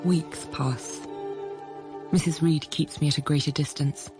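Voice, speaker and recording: An actress playing a grave woman narrating her bleak childhood in a literary drama, in measured, sombre short phrases. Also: low-pitched, fairly even intonation, music in the background. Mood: sombre, reflective.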